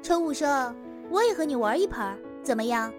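A woman's voice: high-pitched, animated, moderate pace, faint music behind. A woman playing a chirpy, friendly schoolgirl classmate in an audio drama, with a coaxing, playful manner. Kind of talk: Chinese audio drama acting.

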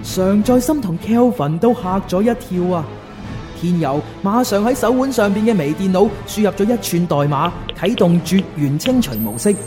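Animated woman narrator over music. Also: mid-range voice, normal speed. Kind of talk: dramatic scripted narration.

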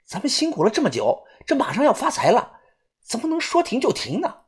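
Man doing a high, brash young sidekick. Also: lively intonation, normal speed, a bit bright, slightly rough, full character voice. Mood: grumbling. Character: brash, excitable, foul-mouthed young male tomb-robber sidekick.

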